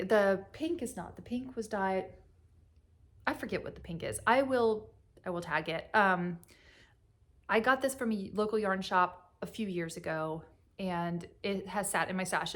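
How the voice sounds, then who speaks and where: Young female podcast host, casual and rambling, mid-pitched, sniffly from a cold. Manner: rambling, chatty, with frequent fillers and laughs. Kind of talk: casual chat.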